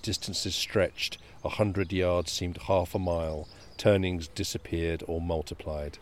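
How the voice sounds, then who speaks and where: Deep-voiced man reading and chatting. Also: steady tone, a little hiss or hum. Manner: measured storyteller, chatty and quick in talk. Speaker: podcast co-host. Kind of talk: reading a novel aloud, then casual chat.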